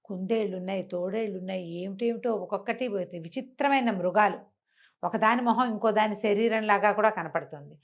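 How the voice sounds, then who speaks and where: A woman's voice: medium pitch, brisk, vocal fry. A woman tells a fantasy folk tale in a conversational way, using rhetorical questions and repetition. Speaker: storyteller on a web show.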